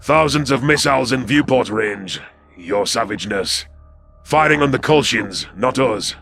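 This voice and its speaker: A man's voice: mid-range voice, lively intonation, measured pace, gravelly, music in the background, pitched above the performer's own voice. A man voicing an irritated, disdainful alien officer with dry sarcasm in a science fiction audio story. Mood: disdainful irritation.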